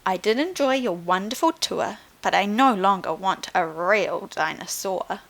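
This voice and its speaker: A woman's voice: mid-range voice, lively intonation, measured pace, a bit bright, low-quality recording. A woman voicing a willful, bold four-year-old girl in a storybook, with an assertive, childlike manner. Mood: calm, decided. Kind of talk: storybook read aloud.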